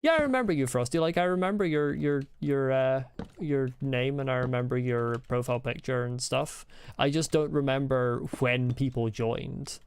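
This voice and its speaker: Gravelly mild Scottish man chatting. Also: mid-range voice, moderate pace. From Scotland. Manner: rambling, chuckling, replying to chat messages. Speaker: streamer. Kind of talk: casual live-stream chat while gaming.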